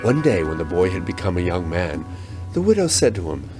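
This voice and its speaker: A man with a fairly low voice tells a children's folk tale from a script. His intonation swings widely and livelily. Guitar music is clearly heard behind him. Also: sing-song, a little fast. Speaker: storyteller.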